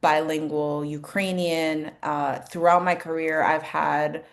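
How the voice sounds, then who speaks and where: Low-voiced woman presenting a webinar. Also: steady tone, slow. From New York City. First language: Spanish. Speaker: speech language pathologist presenter.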